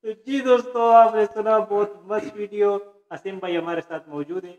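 A man's voice: high-pitched, steady tone, normal speed, a little mellow, a little hiss or hum. A man in his thirties hosting a prank phone call, bantering into a studio microphone. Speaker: prank caller and host. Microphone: shared studio condenser mic with pop filter. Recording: echoey sound.

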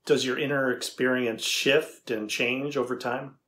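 A man acts as a middle-aged male researcher in a dramatized interview. His voice sits at an average pitch. He is earnest and curious, putting each question deliberately. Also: fairly even intonation, fairly bright tone, lightly acted. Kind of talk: dramatized transcript reading.

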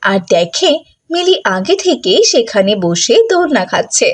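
A woman's voice: fairly high pitch, sing-song, measured pace. A woman narrating a scripted children's story.

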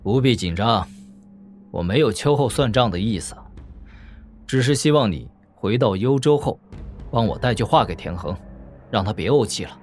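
Man playing a calm commanding young lord. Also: on the low side, moderate pace, pauses often, soft background music, natural voice. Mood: calm, reassuring. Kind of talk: Chinese audio drama acting.

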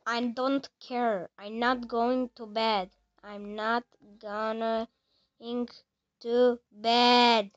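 High-voiced young woman gaming. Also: level tone, muffled recording. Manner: halting, talks to herself while playing. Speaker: gamer playing a horror game. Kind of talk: casual let's-play commentary.

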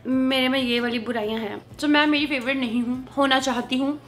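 A woman in her thirties with a Punjabi accent, talking to viewers in a casual home vlog, earnest and animated. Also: high-pitched, a little fast. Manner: earnest, animated, often laughing. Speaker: vlogger. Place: home kitchen and living room, indoors. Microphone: camera on a tripod at mid distance.

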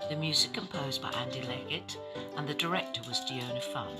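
A woman's voice: very deep voice, brisk. A woman announcer reading scripted credits for a radio play. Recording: loud music under the voice. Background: loud guitar music.

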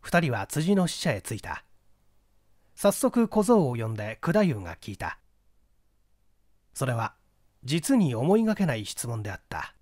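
Expressive male audiobook narrator. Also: medium pitch, measured pace, pauses often, dry recording, clean studio sound. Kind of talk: scripted literary narration.

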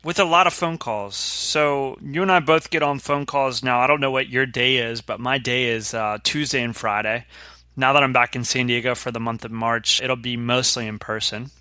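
A man in his thirties co-hosting a business podcast, telling stories in a relaxed, step-by-step way. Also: medium pitch, moderate pace, no echo. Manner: relaxed storytelling, explaining step by step. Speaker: podcast co-host. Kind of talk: casual business podcast chat.

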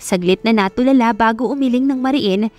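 A woman's voice: on the high side, a little fast, darkish tone, soft background music. A woman narrator reading a scripted love story in a dramatic audiobook style.

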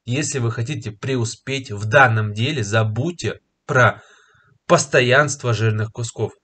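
A young man with a slight cold talks through a crypto price chart with confident, persuasive energy, pausing on filler words as he goes. He sits in a small indoor room, picked up by a webcam. His voice sits at an ordinary middle pitch for a man. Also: bright, forceful tone, breathy edge. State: slightly ill, clearing throat and coughing. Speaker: crypto market commentator. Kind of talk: screen-share market analysis to camera. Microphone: webcam in a corner of a screen recording.

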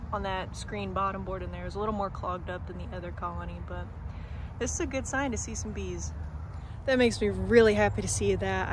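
Young woman, mid-pitched, casual farm vlogger on a handheld phone outdoors, chickens clucking clearly behind her. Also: noisy background. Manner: chatty, talks to her chickens in a soft coaxing voice. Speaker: homestead vlogger. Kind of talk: casual vlog narration. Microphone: phone camera held at arm's length. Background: clucking chickens and roosters.

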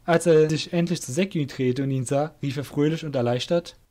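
A man's voice: a little high, fairly quick, dark tone. A man reading scripted narration for an audio drama as the narrator.

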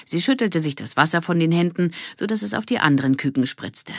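A woman narrating a children's audiobook in an expressive storytelling manner. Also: on the low side, brisk, dry recording, studio quality.

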